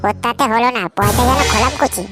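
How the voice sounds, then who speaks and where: A woman's voice: fairly high pitch, quick pace, loud music behind the voice, lightly acted. A woman voicing a cocky, greedy young male schemer in a comic cartoon, brash, bossy and slangy. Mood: indignant, boastful.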